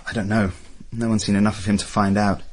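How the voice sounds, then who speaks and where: An actor playing an earnest young Roman army officer in a radio drama, polite and understated. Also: male voice, fairly low pitch, little pitch movement, fast, close to an everyday voice. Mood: concerned.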